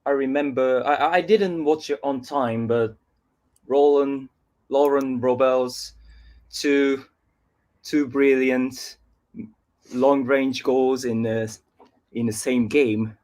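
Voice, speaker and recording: A man's voice: mid-range voice, fairly even intonation, slow pace, pauses often, darkish tone. Polite man speaking careful English. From Japan. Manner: hesitant, searching for words, polite. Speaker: guest fan. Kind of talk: casual interview chat.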